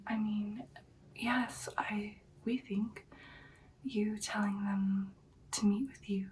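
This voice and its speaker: Female performer as hesitant, devoted vampire girlfriend, ASMR roleplay; breathy whisper, very even pitch, faint background noise. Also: recorded from a distance, lightly acted, rough recording. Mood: doubtful, intrigued. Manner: soft, halting, deliberate, intimate.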